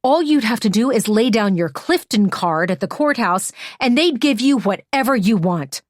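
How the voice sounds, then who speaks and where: A woman narrator voicing a furious adult woman who yells and curses in relentless accusations. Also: low-pitched, unhurried, slightly rough, no echo, clean studio sound. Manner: yelling, cursing, relentless accusations.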